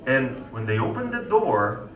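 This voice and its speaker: A man's voice: medium pitch, very animated, measured pace, dull audio. A man in his thirties with a Polish accent gives a conversational sales talk to an audience and keeps checking in with them. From Poland. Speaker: sales consultant giving a talk. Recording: echoey hall, distant mic.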